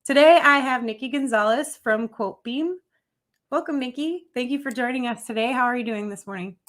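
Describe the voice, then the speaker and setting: A woman hosting a live interview series, whose voice sits somewhat high. She welcomes listeners and her guest in an upbeat tone before asking her opening question. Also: brisk. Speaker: host.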